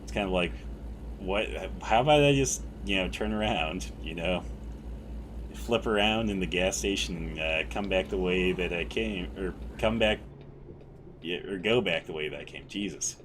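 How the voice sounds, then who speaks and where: A man calmly talking through a driving game as a streamer, with a mellow, fairly monotone voice and a rambling, self-correcting way of speaking. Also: low voice, moderate pace, slight background noise. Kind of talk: casual gameplay commentary.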